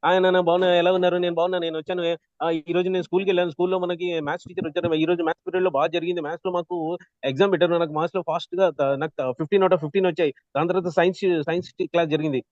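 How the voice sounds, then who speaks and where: A man's voice: high voice, fairly even intonation, a little mellow, dry recording, lightly acted. An eager schoolchild acted by a man chatters at top speed in a raised voice, running every sentence into the next with no gaps. The audio is dry and somewhat muffled. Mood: eager, rushed. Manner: rushed, gapless rambling. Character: chattering school child racing breathlessly through news.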